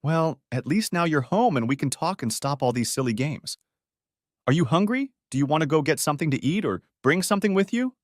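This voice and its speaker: A man's voice: fairly high pitch, animated, fairly quick, no echo, clean studio sound, pitched above the performer's own voice, lightly acted. A man voicing an agitated, defensive wife in a dramatic story reading. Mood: nervous, brushing off. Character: Carol, agitated defensive wife in a troubled marriage. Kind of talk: audiobook-style dramatic reading of dialogue.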